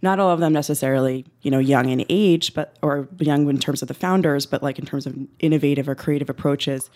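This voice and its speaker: A woman's voice: low voice, steady tone, a little fast, a little mellow. A woman with an American accent giving casual, wry, self-deprecating answers as a guest in a radio studio. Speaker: interview guest, writer and nonprofit consultant. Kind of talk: casual conversational interview answers.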